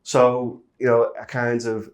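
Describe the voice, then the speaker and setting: A man's voice: medium pitch, monotone, takes it slowly. A middle-aged man from Liverpool with a Liverpool accent, chatting as a guest in a casual interview. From Liverpool, England. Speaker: interview guest, industrial safety manager.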